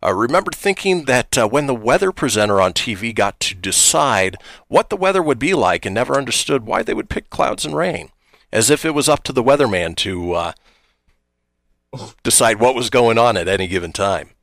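A man's voice: medium pitch, big pitch swings, brisk, creaky, a bit bright, dry recording, studio quality. A man hosting a radio talk show, wry and laid-back, reading listener posts and steering the segments.

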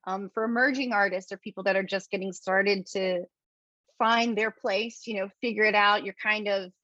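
A woman's voice: mid-range voice, fairly even intonation, moderate pace, bright, forceful tone, no echo. Brief: female interviewer, affirming thoughtful manner, muffled dry remote-call sound. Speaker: interview host. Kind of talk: casual interview. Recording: dull dry lo-fi audio.

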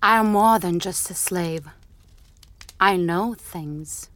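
An actress playing a bold, knowing enslaved woman in a fantasy audio drama, speaking in a measured, intimate way. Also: lowish voice, fairly bright tone, no room sound. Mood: defiant, probing.

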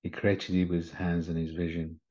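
A man leading a guided meditation in a gentle, soothing manner, with short unhurried instructions. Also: low-pitched, level tone. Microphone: video call. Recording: slightly muffled video call audio.

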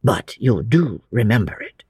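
A narrator voicing a frail, gentle, sorrowful old gentleman with a quavering, halting delivery, in a storybook reading. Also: mid-range voice, big pitch swings, husky, no room sound, studio quality, pitched below the performer's own voice, exaggerated voice. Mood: gentle, pleading. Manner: slow, halting, sighing.

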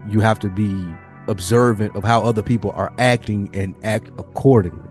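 A man's voice: on the low side, steady tone, a little fast, a little mellow, soft background music. A man co-hosting a podcast in casual, slangy talk, repeating phrases to make his point. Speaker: podcast host. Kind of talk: casual chat.

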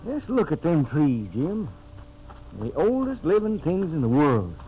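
Honest rustic American old-time radio sidekick, highish, drawling, on a hissy, muffled recording. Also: male voice, very animated, a little slow. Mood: earnest, pleading. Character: folksy, honest, plain-spoken male backwoods sidekick. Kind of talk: 1950s radio drama acting.

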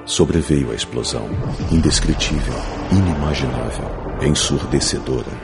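A man's voice: a bass voice, normal speed. A man delivering dramatic, scripted epic narration in a solemn, portentous manner. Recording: music behind the voice. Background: clear music.

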